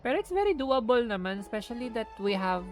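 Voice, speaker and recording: A woman's voice: a little high, very animated, quick pace. A woman with a Filipino accent chatting informally and switching between languages as she streams a game. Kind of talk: casual livestream chat over gameplay. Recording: loud game music behind.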